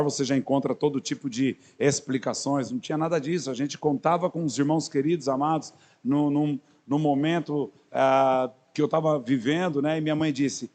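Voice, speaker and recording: A man's voice: mid-range voice, darkish tone. A man in his fifties from São Paulo with a São Paulo accent, a pastor introducing himself to a congregation in a chatty, joking way with many fillers and restarts. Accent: São Paulo Portuguese. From Brazil. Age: 50s. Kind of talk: sermon introduction, speaking to a congregation.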